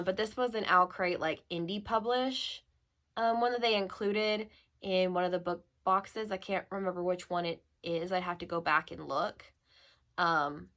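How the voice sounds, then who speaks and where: A woman book vlogger chatting casually and informally to her viewers with rambling asides, her voice a little gravelly as she recovers from being ill. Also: lowish voice, bright, forceful tone. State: recovering from illness, slightly gravelly voice. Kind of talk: casual chatty monologue to camera.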